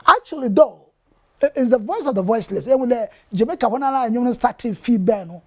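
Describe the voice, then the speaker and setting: A man's voice: extremely high pitch, expressive, brisk, a bit bright. An older man with a Ghanaian accent, a reggae musician being interviewed, switching between Twi and English as he talks. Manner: mixes Twi and English, sings snatches of his song. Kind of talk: casual interview talk.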